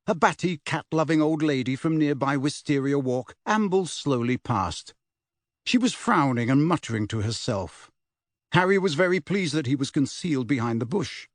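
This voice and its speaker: A man's voice: on the high side, no echo, studio quality. A man reading a novel aloud as scripted audiobook narration.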